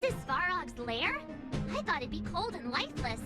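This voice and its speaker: An actress playing a perky, impatient young adventurer in a video game, bubbly and sassy. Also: female voice, very high voice, big pitch swings, normal speed. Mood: chirpy. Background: game music.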